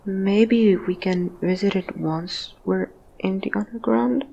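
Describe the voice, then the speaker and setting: A woman's voice: medium pitch, takes it slowly, vocal fry, mellow, muffled recording, slight background noise. A woman voicing a shy, self-doubting ghost in an audio drama, hesitant and trailing off. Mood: wistful, rambling.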